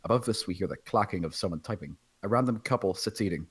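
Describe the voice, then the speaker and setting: A man with a husky voice reading screenplay stage directions as scripted narration. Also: lowish voice, fast talker. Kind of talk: scripted narration at table read.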